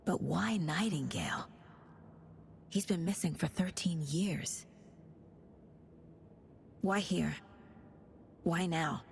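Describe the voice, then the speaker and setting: An actress playing a composed, inquisitive FBI agent in a video game, measured and pensive. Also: female voice, on the low side, creaky, slight background noise. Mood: pensive, hushed. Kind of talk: video game dialogue and brooding inner monologue.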